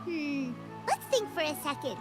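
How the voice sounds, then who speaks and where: A woman voicing a small, childlike, chatty fairy companion in a video game, with a squeaky, expressive delivery. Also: measured pace. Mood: chatty, thoughtful. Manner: speaks of self in third person, expressive. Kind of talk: video game character acting. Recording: music behind the voice.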